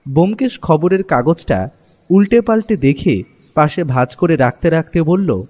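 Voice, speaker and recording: A man narrating a scripted first-person story as an expressive storyteller. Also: a little high, normal speed. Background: music.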